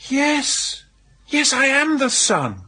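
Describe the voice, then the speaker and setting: Bright, animated young gentleman. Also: very high voice, slow, full character voice. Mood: polite, surprised. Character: polite, eager young English gentleman. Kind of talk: British radio drama acting.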